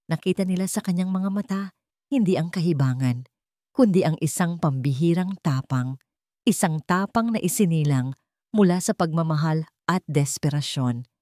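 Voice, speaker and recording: A woman's voice: deep voice, mellow, no room sound, clean studio sound. A woman storyteller reading a dramatic scripted narration in a suspenseful, emotive manner.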